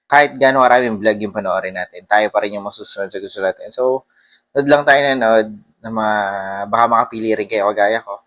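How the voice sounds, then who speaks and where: A man's voice: on the low side, steady tone, fairly quick, fairly bright tone. A man giving a casual vlog review, talking informally and rambling with hesitations. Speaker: motorcycle vlogger. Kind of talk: casual vlog product review, mixing Tagalog and English.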